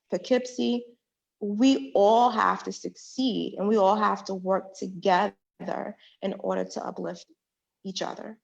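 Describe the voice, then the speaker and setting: A woman's voice: medium pitch, gravelly. A woman from Queens, a public defender running for judge, answering radio interview questions earnestly and building her points through repeated phrases. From Jamaica, Queens, New York. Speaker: judicial candidate and public defender. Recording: dull lo-fi radio sound.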